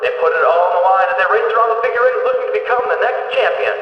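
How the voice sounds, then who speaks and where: A man voicing an excitable, jokey race announcer in a video game, with a booming showman's delivery and drawn-out words. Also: natural voice. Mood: wry, rousing. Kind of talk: arena sports announcing, scripted game voice lines. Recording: echoing PA in a big venue, distant, dull.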